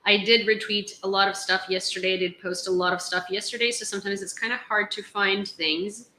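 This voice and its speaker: A woman's voice: mid-range voice, fairly even intonation, brisk, bright, forceful tone, a little hiss or hum. Chatty woman reading news, echoey room. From Ukraine. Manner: chatty, laughs often, reads headlines aloud. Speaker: news streamer. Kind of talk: casual live-stream news reading and chat.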